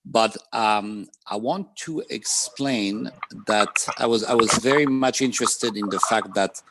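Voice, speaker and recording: A man from France with a French accent, presenting as a panelist on a video call, measured and pausing with "um" between points. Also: on the low side, heavy background noise.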